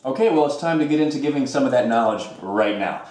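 A man's voice: mid-range voice, expressive, fast, darkish tone. A young man hosting a fitness nutrition show with polished, upbeat presenter delivery. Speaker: host. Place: home kitchen, indoors. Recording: roomy kitchen sound, mic at a distance.

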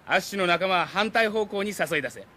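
A man playing a scheming young gang leader in a radio drama, rough, commanding and menacing. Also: high-pitched, expressive, a little fast, sharp tone, a little hiss or hum. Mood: cold, commanding.

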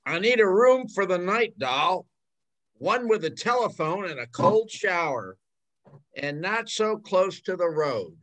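A man's voice: high-pitched, big pitch swings, measured pace, fairly bright tone, full character voice. An older man playing a brash, hard-drinking traveling salesman who fancies himself a ladies' man, in an old-time radio drama. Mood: cocky. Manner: brash, slangy wisecracking. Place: bedroom at home. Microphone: video call.

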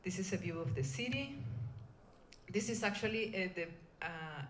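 A middle-aged woman with a Venezuelan Spanish accent gives an informal conference talk, speaking in a hesitant, halting way. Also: medium pitch, lively intonation, a bit bright. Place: indoor conference room. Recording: echoey room, clear background noise.

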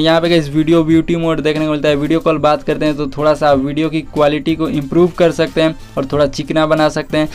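Indian male tech tutorial host, casual and instructional, slightly high and very clean voice. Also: a little mellow, clear, resonant tone. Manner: repetitive, instructional, mixes English words into speech. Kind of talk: casual phone tutorial voice-over.

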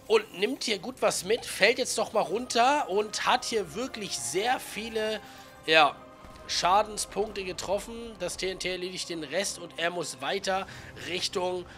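A man in his twenties with a cold, giving chatty, slangy, excited commentary on a video game over faint music. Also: high-pitched, vocal fry. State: has a cold. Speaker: gaming YouTuber.

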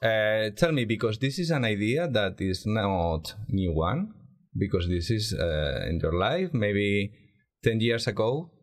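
Male interview host, Spanish accent, lowish voice, animated pitch, halting non-native English phrasing. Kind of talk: informal interview.

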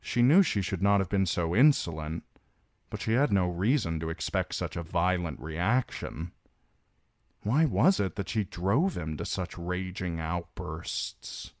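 Deep, unhurried male audiobook reader. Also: no room sound, clean studio sound. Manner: measured, steady storytelling. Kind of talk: novel chapter reading.

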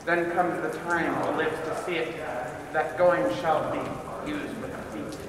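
A man's voice: fairly high pitch, takes it slowly. A young man voicing a witty, riddling male court jester in a sing-song recitation, in an amateur class-project puppet reading. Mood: prophesying. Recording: echoey room, far from mic, background noise.

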